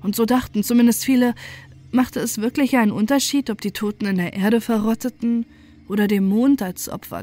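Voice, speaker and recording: A woman reads a scripted horror tale as narrator. Her voice sits at a medium pitch, and her phrasing is deliberate and dramatic. Quiet music plays beneath her. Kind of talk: scripted horror story narration, first person.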